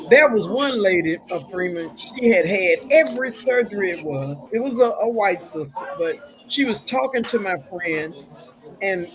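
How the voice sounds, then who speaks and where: Lady talk show host, chatty and preachy, telling stories with bible verses, middle pitch. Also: poor audio. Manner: folksy, preachy storytelling with scripture. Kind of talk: casual preachy chat.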